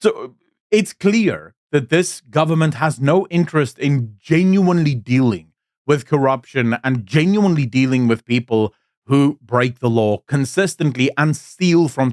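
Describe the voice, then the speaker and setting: A man's voice: medium pitch, no echo, studio quality. A South African man giving blunt, opinionated commentary on politics straight to his viewers. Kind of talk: casual commentary to camera. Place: Cape Town.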